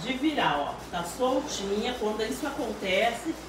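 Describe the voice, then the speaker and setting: A woman in her fifties hosting a casual home cooking live stream, chatty and quick to laugh. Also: fairly high pitch, lively intonation, reverberant, over a noisy crowd, low-quality recording. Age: 50s. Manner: chatty, laughs a lot, frequent "né, ó". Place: home kitchen, indoors. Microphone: phone camera.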